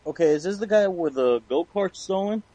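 Guy doing prank calls, softish mellow voice, dry lo-fi voice chat sound. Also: high-pitched, lively intonation, a little fast, no room sound. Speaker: prank caller on a call show. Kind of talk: casual crude prank-call banter. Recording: muffled lo-fi voice chat.

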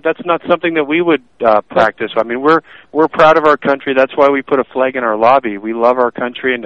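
A man's voice: mid-range voice, no room sound. A restaurant manager answering a phone call, hesitant and apologetic, stammering as he goes. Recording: muffled phone line.

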